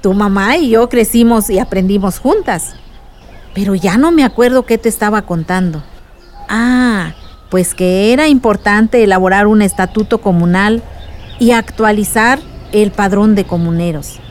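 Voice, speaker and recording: Una actriz de radionovela que interpreta a una tía resuelta que cuenta y explica sus vivencias a su sobrina. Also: female voice, medium pitch, normal speed, a little hiss or hum, lightly acted. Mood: reflective, explanatory. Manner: storytelling, explanatory. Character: aunt recounting past dangers to her niece, warm, resolute. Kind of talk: radio drama acting.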